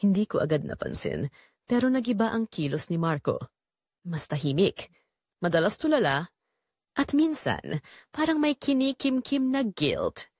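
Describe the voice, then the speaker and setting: A woman's voice: mid-range voice, wildly swooping pitch, measured pace, pauses often, a little mellow, no room sound, studio quality. A woman giving a dramatic, scripted first-person narration as the storyteller of an audio drama.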